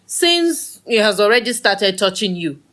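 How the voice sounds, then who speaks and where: Brash young Nigerian woman, meddlesome and teasing, very animated pitch, slow emphatic delivery, audio drama. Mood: persuasive. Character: bold, teasing, meddlesome young woman pushing prophet's prayers.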